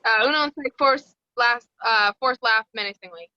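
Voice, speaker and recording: A woman's voice: a little high, normal speed, no echo, highs cut off. A woman reading screenplay stage directions as the narrator at a table read.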